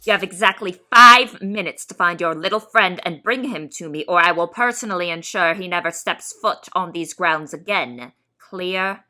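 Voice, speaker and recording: A woman's voice: fairly low pitch, normal speed, few pauses, pushed and bright. A woman playing a stern household superior in an audio drama, clipped, formal and commanding. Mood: cold, threatening.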